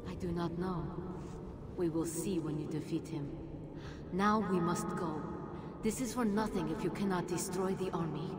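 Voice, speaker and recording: A woman's voice: fairly low pitch, natural voice. Woman as solemn djinn queen in echoing hall. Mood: resolute. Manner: measured, formal, solemn. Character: solemn, regal female djinn spirit guide. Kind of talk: dramatic video game acting. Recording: echoing hall, heavy background noise.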